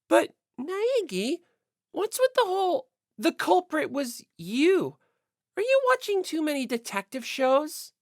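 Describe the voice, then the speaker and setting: A woman's voice: high-pitched, very animated, slow, darkish tone, dry recording, studio quality, pitched above the performer's own voice, full character voice. A woman voicing a cheerful, easygoing teenage schoolgirl for an audiobook, with a teasing lilt. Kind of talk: audiobook character acting.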